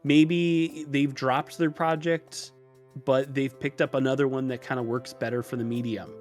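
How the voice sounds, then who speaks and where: A man's voice: mid-range voice, flat delivery, moderate pace. A man talking casually as a podcast guest, explaining things in a loose, rambling way with hesitations. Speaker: podcast guest who runs a tutorial channel. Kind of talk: casual interview conversation. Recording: music mixed under the voice. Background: music.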